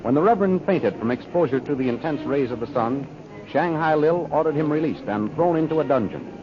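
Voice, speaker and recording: A man's voice: on the high side, lively intonation, fairly quick, crowd noise. A man narrating dramatically as the announcer of a 1930s radio adventure serial. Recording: dull old radio recording with music. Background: music.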